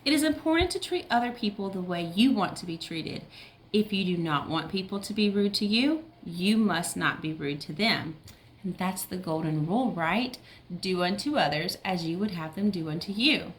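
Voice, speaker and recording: A woman's voice: lowish voice, lively intonation, moderate pace, slight background noise. A woman teacher reading a picture book aloud to young children, with simple explanations and check-in questions.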